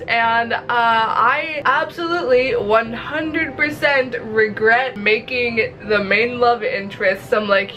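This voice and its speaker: A woman chatting casually in a video diary, with an animated, expressive, self-mocking manner and casual swearing. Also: a little high. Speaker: visual novel developer and vlogger. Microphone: recording to camera. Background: background music.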